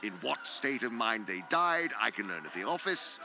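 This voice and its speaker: An actor playing an imperious senior demon in a radio play, lecturing pompously and theatrically with precise diction and hushed, emphatic drops. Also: male voice, mid-range voice, big pitch swings, normal speed, over music. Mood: scolding. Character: senior male demon, imperious scholarly uncle, exacting mentor. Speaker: senior demon lecturing his subordinate nephew.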